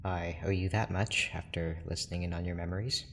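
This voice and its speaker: A man acting a reserved, clinical research doctor in an audio drama, formal, measured and dryly blunt. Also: low voice, vocal fry, a little hiss or hum. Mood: guarded. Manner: formal, measured, clipped, deadpan.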